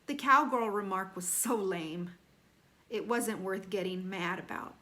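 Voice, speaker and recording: A woman reading a children's novel aloud like a teacher, animated, with deliberate emphasis and dramatic slowing on key phrases. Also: medium pitch. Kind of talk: expressive children's read-aloud.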